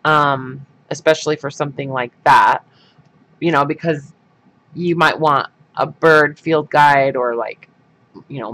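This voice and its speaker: A man's voice: on the high side, a bit bright, low-quality recording. A man hosting a craft livestream, chatting casually and rambling with lots of fillers, his sinuses stuffed up. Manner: rambling, many fillers and restarts.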